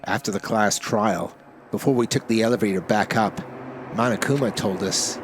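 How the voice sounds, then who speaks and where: A man's voice: on the low side, moderate pace, noisy background, pitched below the performer's own voice, close to an everyday voice. A man voicing a brooding, earnest teenage boy, reading a visual novel aloud. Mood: somber brooding.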